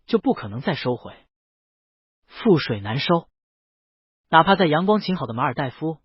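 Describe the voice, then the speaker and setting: A man's voice: on the high side, slightly rough, dry recording, dull audio. A man narrating a scripted first-person story as an audiobook reader.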